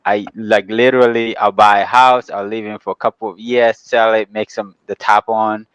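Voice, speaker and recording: Smooth, low-voiced man from Ghana. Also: flat delivery, normal speed, a bit bright, no room sound, highs cut off. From Ghana, later the Bronx, New York. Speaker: guest, real estate developer. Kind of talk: casual interview talk.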